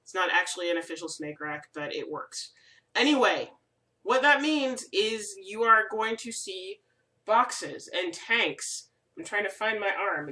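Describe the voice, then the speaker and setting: A woman's voice: fairly low pitch, pushed and bright, recorded from a distance. A woman with a slight head cold, hosting a casual crafting vlog from her room at home, rambling in a friendly way with plenty of ums. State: stuffy from a cold. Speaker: crafting video host.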